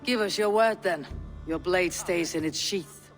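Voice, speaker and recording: A calm Viking war leader, acted by a woman, stern and measured. She speaks with grave, firm authority in a game-drama style. A musical score plays behind her. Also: on the low side, over music, close to an everyday voice. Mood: cautioning. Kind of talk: video game character acting.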